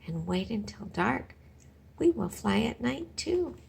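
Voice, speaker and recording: A woman voicing an excitable, innocent young female bat in a children's storybook, earnest and exclaiming. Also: on the high side, slow pace, creaky, slight background noise, full character voice. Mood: excited.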